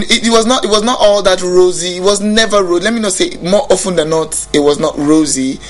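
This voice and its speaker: Young Nigerian male musician, somewhat high voice, reflective and stop-start, faint music under. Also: poor audio. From Nigeria (Bayelsa State). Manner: reflective, often restarts sentences, laughs easily. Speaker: musician guest. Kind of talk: casual interview talk.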